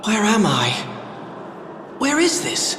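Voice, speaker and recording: Young fantasy prince, high voice, slow and dramatic, old-timey noble talk, echoey. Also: male voice, huge pitch swings, background noise, heavily acted. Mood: bewildered, gloomy. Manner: formal archaic diction, dramatic. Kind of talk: theatrical fantasy game acting. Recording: roomy reverberant voice over music.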